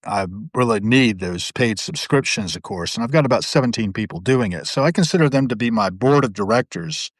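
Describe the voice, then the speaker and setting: A man's voice: mid-range voice, lively intonation, fairly quick, few pauses, dry recording. A middle-aged man hosting a casual live video chat, talking in long, rambling, digressive stretches. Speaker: host. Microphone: video call.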